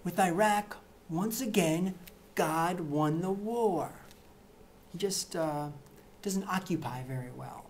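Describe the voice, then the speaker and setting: A middle-aged man reading satirical excerpts to camera in a deadpan, mock-earnest way, in a plain indoor room. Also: high voice, slow, a little hiss or hum. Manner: mock-earnest, punchy, with gestures. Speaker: reader of satirical book excerpts.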